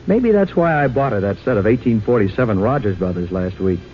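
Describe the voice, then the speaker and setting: A man's voice: lowish voice, animated, a little fast, lightly acted. A man acting as a satisfied, folksy American husband chatting in a 1940s radio advertisement. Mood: folksy, pleased. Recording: muffled, noisy old radio broadcast.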